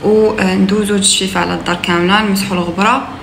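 A young woman in her twenties with a Moroccan accent, chatting casually through a home vlog, relaxed and full of hesitations. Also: medium pitch, very fast, vocal fry. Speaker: vlogger. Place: apartment kitchen, indoors. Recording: echoey room, distant mic, noisy background.

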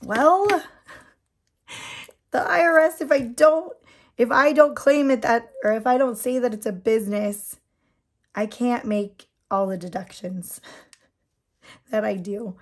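A woman with a somewhat worn voice, since she has been losing it, chats slowly and easily while working at a table. Her voice sits a little high, and she rambles with plenty of ums and small laughs. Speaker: nail product seller and channel host. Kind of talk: casual chat while doing a manicure. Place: indoors at a tabletop.

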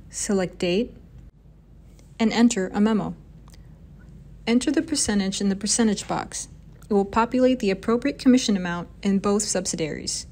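A woman with a mid-pitched voice narrates a software demonstration. She reads from a script in an instructional way, taking the listener through the process step by step.

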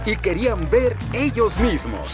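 A man's voice: sits high, very animated, a little slow, over music. A man narrating a children's cartoon story.

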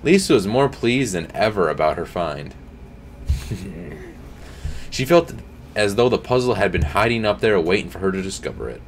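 A young man reading a scary story aloud at home, tripping over words now and then and adding quiet asides. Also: deep voice, lively intonation, normal speed, long pauses, a little hiss or hum. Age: young adult. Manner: reads with slips and restarts, drops to quiet asides. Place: home streaming room, indoors.

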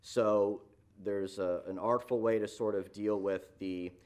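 Municipal planner, low voice, measured explanatory delivery, lots of "uh"s and restarts. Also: male voice, steady tone, darkish tone, clear, resonant tone. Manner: explanatory, frequent fillers and restarts. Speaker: city planning staff presenter. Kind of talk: staff report at a public meeting. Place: council chamber.

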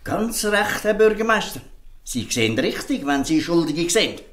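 A man's voice: fairly high pitch, very animated, moderate pace, fairly bright tone. Impassioned Swiss German fisherman, swooping intonation. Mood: indignant, pleading. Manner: emphatic, rhetorical pleading. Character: earnest, defiant fisherman defending outcast children. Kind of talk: audio drama dialogue in dialect.

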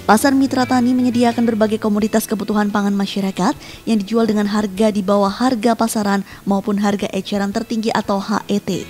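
A woman reporter reading a scripted news voice-over in an even, formal manner. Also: medium pitch, fast talker, faint music behind.

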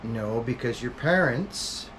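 A man's voice: medium pitch, animated, slow pace, heavy background noise. A middle-aged man from Western Canada, a logger chatting earnestly in a workshop, often restarting his sentences. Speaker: logger, guest. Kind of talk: casual chat. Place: indoor wooden workshop.

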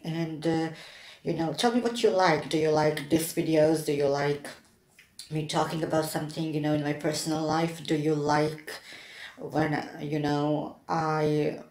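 Low-pitched, unhurried woman vlogger, halting. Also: fairly even intonation, darkish tone. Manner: halting, hesitant delivery. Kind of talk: casual get-ready-with-me chat to camera. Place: plain-walled room indoors. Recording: echoey room.

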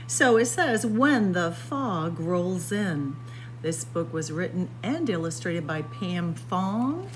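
A woman hosting a story time, reading a picture book to young children in a sing-song way with drawn-out phrases and dramatic pauses. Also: medium pitch, low-quality recording. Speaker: library story time host. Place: indoors among library bookshelves.

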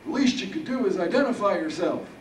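High-pitched, feisty, folksy old man. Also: lively intonation, fast talker. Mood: impatient. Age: older. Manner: folksy, gruff, wisecracking. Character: feisty, folksy old male military veteran, stubborn. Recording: roomy, distant mic, faint noise.

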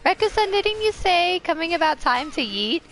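A woman's voice: very high voice, normal speed. Squealy, sick American woman streamer. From Ohio, USA. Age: young adult. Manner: excitable, squeals and yells at the game, chats with viewers. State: sick, coughing. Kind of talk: casual game stream chat. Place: home room, indoors. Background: faint game music.

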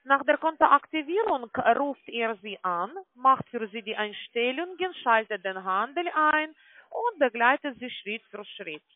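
A woman with a non-native German accent gives an explanatory phone sales pitch as a call-centre agent, often adding tag questions to confirm. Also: expressive, measured pace, no echo. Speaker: call-centre agent selling online trading. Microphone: telephone call. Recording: tinny, band-limited phone line.

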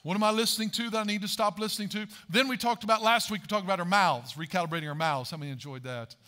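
A man in his forties delivers a sermon from a large church stage, his voice pitched high and bright. He preaches with rising emphasis and poses questions to the audience, heard through a headset mic. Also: racing. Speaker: pastor.

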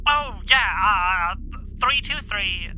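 A very sharp, piercing, animated voice. A man acts a nerdy young male shop clerk in an audio drama, defensive and petulant, full of his own importance. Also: sits high, slow pace, a little hiss or hum, heavily acted. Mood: grudging. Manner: snarky, petulant.

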